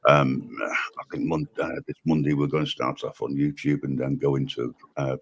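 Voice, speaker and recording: Deep-voiced male host, halting, restart-heavy delivery, very low register. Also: fairly even intonation, breathy edge. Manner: hesitant, many ums and restarts. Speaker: livestream host. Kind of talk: casual livestream chat.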